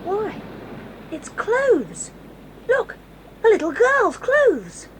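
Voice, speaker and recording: A woman playing a bold, practical tomboy girl, brisk and matter-of-fact in a 1980s audio drama. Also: extremely high pitch, huge pitch swings, exaggerated voice. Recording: faint hiss of old cassette.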